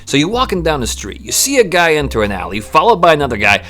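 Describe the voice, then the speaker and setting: A man's voice: a little high, wildly swooping pitch, fast talker, pushed and bright, heavily acted. A man playing a hard-boiled, weary private eye in a noir radio play, with terse, sardonic tough-guy talk. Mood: cool, probing. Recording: music bed under voice, amateur audio.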